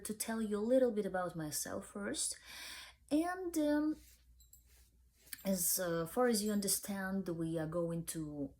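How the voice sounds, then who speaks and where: A woman's voice: mid-range voice, dark tone. A young woman with a Ukrainian accent hosting a book review channel, speaking hesitantly with many fillers. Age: young adult.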